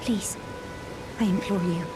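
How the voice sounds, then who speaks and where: A woman acts out a young noblewoman from a dark fantasy game. She pleads slowly and gravely, full of dread. Music plays clearly behind her voice. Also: mid-range voice, natural voice. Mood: fearful pleading. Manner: slow, grave, imploring. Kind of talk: fantasy video game acting.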